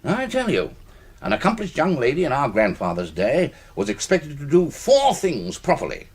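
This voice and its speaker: An actor playing a peppery older British colonel in a radio drama, husky and pompous, orating in an old-fashioned, teasing way. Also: male voice, a little high, huge pitch swings, normal speed, heavily acted. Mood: pompous, nostalgic.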